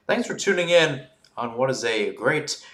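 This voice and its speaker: A man calling live American football play-by-play in an excitable radio style, mixing big calls with casual asides. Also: mid-range voice, moderate pace. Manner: excitable, calls surging plays loudly then drops back to casual asides. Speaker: play-by-play sports commentator. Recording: roomy echo on the voice.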